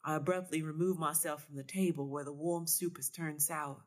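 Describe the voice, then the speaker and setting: A woman's voice: low voice, steady tone, normal speed. A woman reading first-person literary fiction as a dramatic narration. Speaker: story reader.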